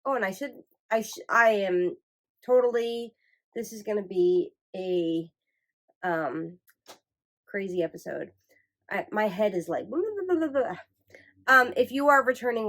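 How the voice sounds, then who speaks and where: A woman's voice: mid-range voice, animated, moderate pace, a bit bright. Woman podcast host, nasal from a cold, chatty, meandering delivery. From Michigan, USA. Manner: rambling, self-correcting, chatty. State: congested from a cold. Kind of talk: casual chat.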